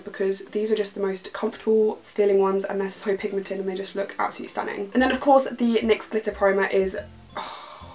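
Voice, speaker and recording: A young British woman reviewing beauty favourites to camera in her bedroom, gushing and a little croaky from being ill. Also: mid-range voice, low-quality recording. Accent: British English. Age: young adult. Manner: gushing, enthusiastic, repeats words for emphasis. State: slightly croaky from illness. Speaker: beauty vlogger. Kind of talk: casual product review to camera. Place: bedroom, indoors.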